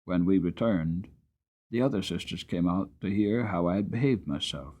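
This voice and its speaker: A man giving a dramatic storybook narration as an audiobook reader, expressive and deliberate. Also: sits low, lo-fi sound.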